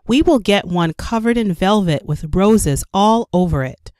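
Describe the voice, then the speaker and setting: A woman reading a children's story aloud as a storyteller, with careful, expressive narration for young children. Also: mid-range voice, a little slow, no room sound, clean studio sound. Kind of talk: scripted read-aloud narration.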